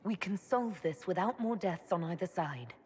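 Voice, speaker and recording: Composed lower-voiced heroine, played by a woman. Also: animated, moderate pace, darkish tone, a little hiss or hum, lightly acted. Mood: reassuring. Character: composed, diplomatic heroic female leader. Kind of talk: video game cutscene acting.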